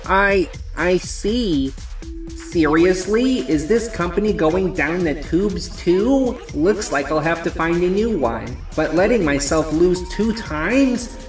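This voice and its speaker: A woman's voice: lowish voice, lively intonation, slow pace, darkish tone, soft background music. A woman playing a proud, stubborn sales manager who gets defensive under pressure, in a manga dub. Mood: brooding, determined.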